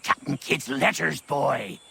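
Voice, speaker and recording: An actor playing a crotchety old sailor in a video game, with a raspy voice and a taunting, folksy seafarer's drawl. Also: male voice, mid-range voice, slow pace, pushed and bright, a little hiss or hum, exaggerated voice. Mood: sly, mocking. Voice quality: raspy, creaky. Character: crotchety old sailor hoarding trinkets and pirate letters, mocking. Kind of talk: video game character acting.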